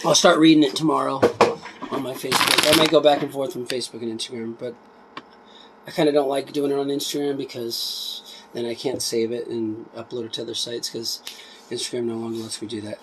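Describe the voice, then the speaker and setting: A man reading a book aloud in an informal way, emphatic and deliberate on the text, with offhand asides in between. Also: mid-range voice, flat delivery, background noise.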